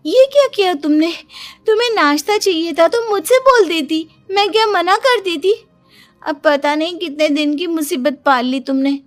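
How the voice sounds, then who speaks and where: A woman's voice: extremely high pitch, normal speed, soft background music. A woman playing a self-pitying, boastful young Indian daughter-in-law in a cartoon family drama, in a theatrical, whining manner. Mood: scolding.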